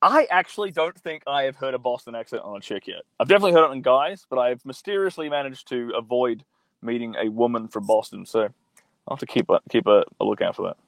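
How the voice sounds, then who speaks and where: A man's voice: mid-range voice, animated, quick pace, gravelly, no room sound. Young male streamer, phone mic, very dry close sound, rambling and crude. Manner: blunt, profane, rambling with many fillers. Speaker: livestream host reading viewer comments. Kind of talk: casual profane live-stream chat. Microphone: mobile phone.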